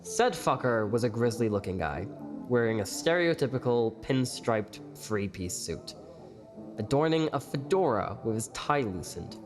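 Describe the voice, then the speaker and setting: A man's voice: mid-range voice, lively intonation, unhurried, lightly acted. Sassy, sardonic young waiter played by a man. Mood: scornful, wry. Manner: teasing, sarcastic, sweary. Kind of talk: audio drama acting with first-person narration. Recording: faint music behind the voice.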